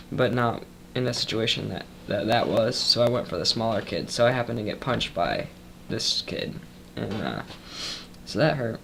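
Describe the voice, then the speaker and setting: A man's voice: mid-range voice, gravelly, a bit bright, a little hiss or hum. A young man giving a casual, rambling vlog update, stuffed up from a cold and tired after a long shift, talking loosely with lots of ums and asides. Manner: loose and chatty, full of ums and trailing asides. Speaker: vlogger.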